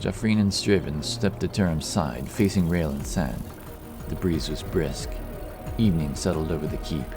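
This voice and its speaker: A man's voice: very deep voice, normal speed. A man reading scripted narration for a fantasy audiobook. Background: music.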